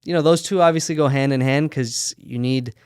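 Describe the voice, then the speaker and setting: Smooth-voiced man telling his story. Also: mid-range voice, no echo, studio quality. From United States. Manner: relaxed storytelling with frequent fillers and quick laughs. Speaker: sock company founder, guest. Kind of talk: casual podcast interview.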